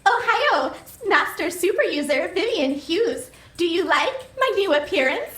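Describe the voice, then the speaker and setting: A woman's voice: very high voice, sing-song, a little slow, a bit bright, exaggerated voice. A woman voicing a naive, eager AI computer with a girlish anime avatar, formal and childlike in manner. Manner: formal, childlike, literal-minded. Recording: roomy echo. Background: music.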